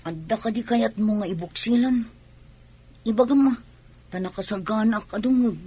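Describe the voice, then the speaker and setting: An actress playing a young, evasive, exclaiming daughter in a radio drama, with an Ilocano accent. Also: female voice, mid-range voice, normal speed, warm tone, breathy edge, natural voice. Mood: guarded. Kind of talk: Filipino radio drama acting.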